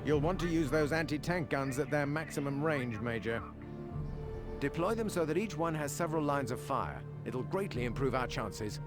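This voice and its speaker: An actor playing a formal, composed, dryly witty military adviser briefing a commander in a video game. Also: male voice, a little high, expressive, moderate pace, close to an everyday voice. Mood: instructive. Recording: loud music behind the voice.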